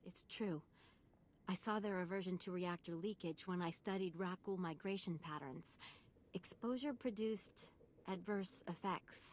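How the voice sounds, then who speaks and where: An actress playing a frightened, pleading scientist in a sci-fi video game, explaining things earnestly. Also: female voice, lowish voice, measured pace, a little hiss or hum, lightly acted. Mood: nervous explaining.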